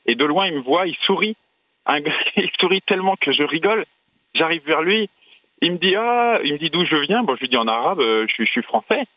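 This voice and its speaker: A man's voice: on the high side, expressive, a little fast, no echo. Man from Brittany telling travel stories, slightly dull sound. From Brittany, France. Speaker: traveller, interview guest. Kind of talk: animated anecdotal storytelling in a radio interview.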